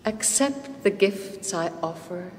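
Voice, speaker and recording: An actress playing a young wife, by turns rustic and haunted, in a British radio horror drama with theatrical, archaic phrasing. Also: female voice, on the low side, animated, slow, darkish tone, a little hiss or hum. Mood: hushed, eerie.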